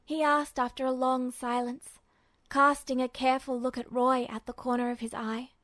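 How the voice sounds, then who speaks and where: A woman's voice: sits high, little pitch movement, normal speed, fairly bright tone, no echo. A woman narrating a story as a scripted audiobook-style reading. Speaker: podfic narrator.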